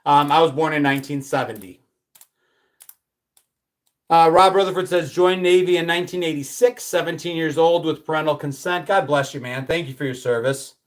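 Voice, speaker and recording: Chatty middle-aged American man, high voice. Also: normal speed. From United States. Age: 50s. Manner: rambling, conversational, with many fillers and restarts. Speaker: livestream host. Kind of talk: casual live chat reading viewer comments.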